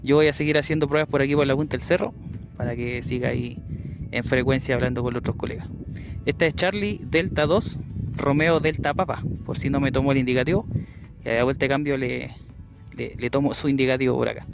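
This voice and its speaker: A Chilean man speaks in a medium-pitched voice as he works a ham radio outdoors. His manner is courteous and casual, with radio call signs and phonetic spelling. Music plays clearly behind him. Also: steady tone, a little fast, rough recording. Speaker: amateur radio operator and vlogger. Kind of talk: casual field vlog and ham radio exchange. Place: outdoors on a windy hilltop.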